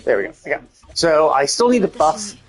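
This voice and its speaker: A man giving casual, unscripted gameplay commentary on a live stream, rambling and thinking out loud in a husky voice. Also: fairly high pitch, very animated, normal speed, soft background music, rough recording.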